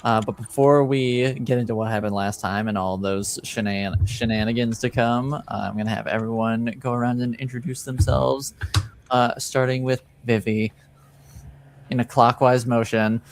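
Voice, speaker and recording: A man's voice: mid-range voice, steady tone, moderate pace. A man hosting a tabletop roleplaying stream, chatting casually with the players as game master.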